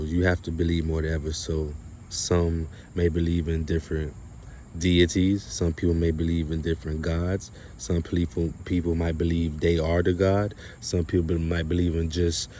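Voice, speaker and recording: A man delivering an unscripted spiritual monologue to viewers, halting and emphatic, often repeating his phrases. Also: low-pitched, a little mellow, slight background noise. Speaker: spiritual video creator.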